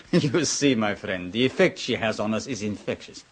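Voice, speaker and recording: An actor playing Luigi, a suave, worldly host who teases his guests in a smooth, theatrical manner. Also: on the low side, expressive, moderate pace. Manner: smooth, teasing, theatrical with chuckles. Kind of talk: 1970s radio drama acting. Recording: old radio broadcast.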